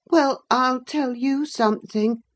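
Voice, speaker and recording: A woman's voice: high voice, slow pace, pitched above the performer's own voice. A woman voicing a sickly, peevish teenage boy in an audiobook, whining with spite and self-pity. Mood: taunting, spiteful. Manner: whining, pettish. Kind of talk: dramatised audiobook character voice.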